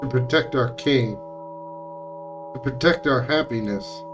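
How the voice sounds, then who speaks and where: A man's voice: mid-range voice, a little slow, music in the background, close to an everyday voice. A man voicing menacing hive-minded puppets in a visual novel, insistent and accusing, with chant-like repetition. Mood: cold, resolute.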